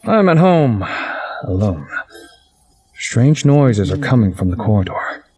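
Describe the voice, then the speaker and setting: A man's voice: mid-range voice, sing-song, slow, a little mellow. A man voicing a haunted, wry young man who narrates a visual novel game in a dramatic, introspective way. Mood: uneasy.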